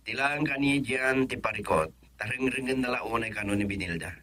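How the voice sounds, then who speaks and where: A man's voice: medium pitch, normal speed, pushed and bright, close to an everyday voice. An actor playing an earnest young adult son in a radio drama, reassuring his mother. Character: devoted young adult son, hopeful in love.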